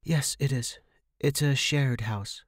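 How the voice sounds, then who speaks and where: A man voicing a polite, nervous young woman in an audio story, soft-spoken and a little hesitant. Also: medium pitch, slow pace, no room sound, studio quality, voice pushed up. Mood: matter-of-fact.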